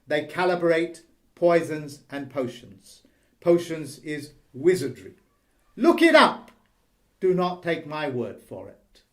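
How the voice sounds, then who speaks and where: Slow, emphatic man speaking in a higher register. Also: very animated. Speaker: host. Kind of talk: emphatic monologue.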